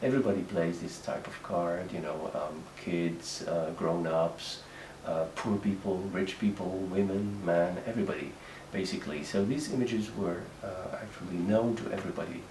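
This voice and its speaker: A Moroccan man, a native speaker of Moroccan Arabic, talking in English with a Moroccan accent in a halting interview monologue, full of fillers and restarts. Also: deep voice, a little mellow. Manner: hesitant, many fillers and restarts. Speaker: writer. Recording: echoey room, mic at a distance, faint background noise.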